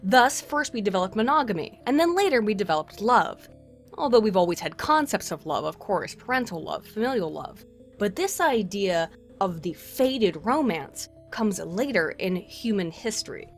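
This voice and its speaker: A woman's voice: mid-range voice, sing-song, normal speed. A woman narrating a scripted video essay in formal, wordy academic phrasing with wry asides. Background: music.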